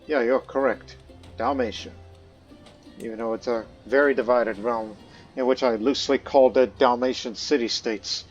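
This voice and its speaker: A man's voice: mid-range voice, steady tone, normal speed, dull audio. A man giving casual gameplay commentary, rambling with many fillers and restarts, sometimes talking as his in-game ruler. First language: not Croatian; studied Croatian as a second language. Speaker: let's play host. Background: faint game music.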